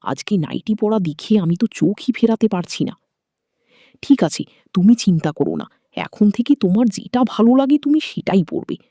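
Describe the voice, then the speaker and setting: A woman's voice: mid-range voice, very animated, moderate pace, dark tone, no room sound, studio quality. Woman voicing a doting, coaxing older father-in-law. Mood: coaxing, admiring. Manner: coaxing, admiring, indulgent.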